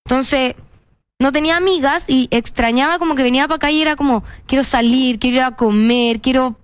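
A woman's voice: high-pitched, a little fast, clean tone, no echo. A young woman with a Chilean accent, chatting casually and expressively as an interview guest, often repeating words for emphasis. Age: young adult.

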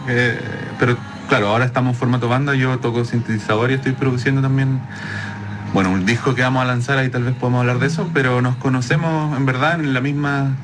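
A Chilean man chats casually as a musician guest. He talks quickly in a mid-range voice. Noticeable noise runs behind him. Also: medium pitch, fairly even intonation. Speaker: synth player and producer, interview guest. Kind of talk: casual chat.

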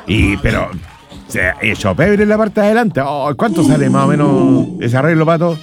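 A man's voice: medium pitch, expressive, moderate pace. Jokey Chilean man over music. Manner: rambling, jokey, colloquial, chuckles often. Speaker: radio host. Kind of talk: casual humorous chat, anecdotes and listener greetings.

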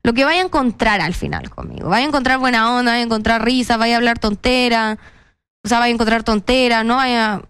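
A young woman with a Chilean accent answers interview questions in a chatty, self-mocking way. Her voice sits a little high and sounds smooth and resonant. The recording is close and dry. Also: a little fast, fairly bright tone, dry recording. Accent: Chilean Spanish. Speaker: guest. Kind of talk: casual interview chat.

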